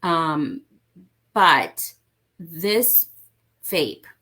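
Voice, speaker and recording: A woman from Mexico with a somewhat low-pitched voice speaks as an interview guest. She talks about parenting earnestly and hammers home her points by repeating them, in a relaxed conversational way. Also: very slow, husky.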